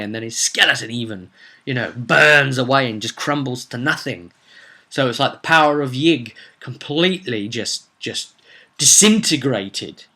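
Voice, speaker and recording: A man's voice: medium pitch, bright, forceful tone. A man telling the story of a tabletop roleplay session as game master, in a rambling, conversational way with repeated phrases.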